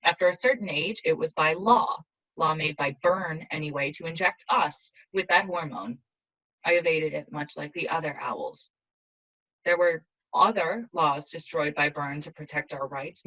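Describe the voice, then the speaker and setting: A woman acts out a young female rebel assassin from a dystopian future. Her voice sits a little low for a woman. She speaks with resolute, earnest intensity, as in a dramatic audio play. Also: normal speed, bright, forceful tone, low-quality recording. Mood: grave, bitter.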